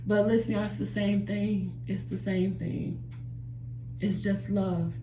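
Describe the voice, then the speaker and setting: A woman's voice: mid-range voice, steady tone, takes it slowly, mellow, slight background noise. Earnest woman vlogging in an echoey bathroom. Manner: earnest, repetitive, addresses viewers as "y'all". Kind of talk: reflective personal vlog. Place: home bathroom by a running jacuzzi.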